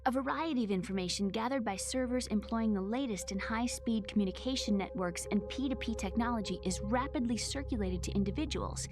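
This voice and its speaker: Actress as higher-voiced young woman programmer, game music behind. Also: a little fast, hardly any pauses, close to an everyday voice. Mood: matter-of-fact, explanatory. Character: young woman computer programmer, bitter yet matter-of-fact. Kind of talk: scripted video game cutscene acting.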